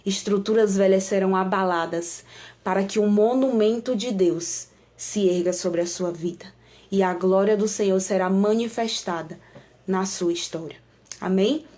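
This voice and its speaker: A woman's voice: on the high side, a little mellow. A woman preacher with a Brazilian accent, giving devotional preaching and prayer in an emphatic, repetitive way, often calling on God.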